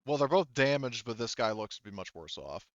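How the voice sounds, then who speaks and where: A man speaking casually and haltingly as game master of a tabletop roleplaying game, thinking aloud as he goes. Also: medium pitch, sing-song, dry recording, muffled recording.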